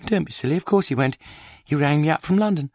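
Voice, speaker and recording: Bitter wheelchair-bound wife, guy narrator doing her voice, high and cutting, dry close mic. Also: quick pace, dry recording, natural voice. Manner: bitter, accusing, sharp-tongued. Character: bitter, vindictive middle-aged wife in a wheelchair. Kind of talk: audiobook character voice.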